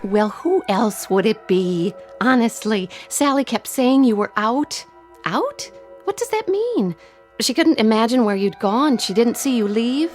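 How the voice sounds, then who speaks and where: An actress in a radio drama playing a puzzled, affectionate wife with a solicitous, questioning manner. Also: female voice, fairly high pitch, expressive, a little slow, a little mellow, soft background music.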